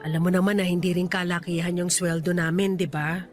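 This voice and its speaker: A woman playing a doting Filipino mother in a radio drama, chatty and gushing with admiration as she gently compares her child. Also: on the low side, flat delivery, a little fast, faint music behind, natural voice. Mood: gently explaining.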